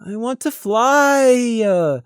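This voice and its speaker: Dragging, whiny pegasus. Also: female voice, a little high, expressive, clean tone, no room sound, clean studio sound, voice pushed up. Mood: petulant whining. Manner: whiny, drawn-out. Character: Alto Heights, petulant whining flight-obsessed pegasus.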